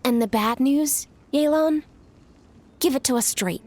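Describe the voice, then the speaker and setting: A woman playing a dutiful young female commander in a video game, speaking in a crisp, decisive, earnest manner. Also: high voice, measured pace, a little mellow, faint music behind. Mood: businesslike.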